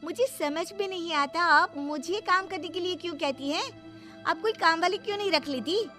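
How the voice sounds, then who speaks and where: A woman's voice: extremely high pitch, moderate pace, bright, forceful tone, faint music behind, voice pushed up. A woman voicing a haughty, spoiled young bride who whines and scorns in a cartoon storybook tale. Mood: scornful, complaining. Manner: whining, scornful complaining. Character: haughty, sharp-tongued young new bride, spoiled and lazy. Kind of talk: Hindi cartoon storybook acting.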